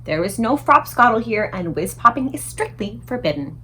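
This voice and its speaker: A woman's voice: a little high, big pitch swings, moderate pace, pushed and bright, slight background noise, low-quality recording. A woman voicing an earnest young storybook girl, plain-spoken and firm. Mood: firm, explaining.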